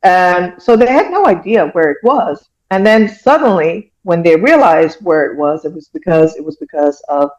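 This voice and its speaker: A woman's voice: lowish voice, expressive, normal speed, hardly any pauses, darkish tone, lo-fi sound. A middle-aged woman from Iran, a guest telling personal stories in a casual interview in an earnest, reflective way, often saying "you know".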